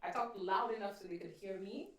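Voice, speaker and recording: A woman co-hosting a podcast in casual chat, telling stories with lively energy and acting out what others said. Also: mid-range voice, fairly quick. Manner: animated storyteller, acts out voices of others. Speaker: podcast co-host. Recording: roomy sound, distant from mic.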